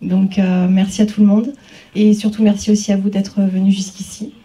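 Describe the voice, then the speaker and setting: A woman's voice: mid-range voice, little pitch movement, normal speed, dark tone. A woman photographer giving an informal, unscripted speech, hesitating as she looks for words. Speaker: photographer, exhibiting artist. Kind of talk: informal speech at an exhibition opening. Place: exhibition opening, speaking to guests. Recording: distant microphone.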